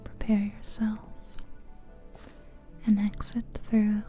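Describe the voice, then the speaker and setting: Woman whispering asmr style, super slow n soothing, really dark soft voice, music behind. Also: mid-range voice, monotone. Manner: slow, soothing, pausing between short phrases. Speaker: ASMR host and guide. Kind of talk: soft-spoken whispered ASMR guided visualization. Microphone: close stereo ASMR microphone. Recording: close ear-to-ear mic, music behind.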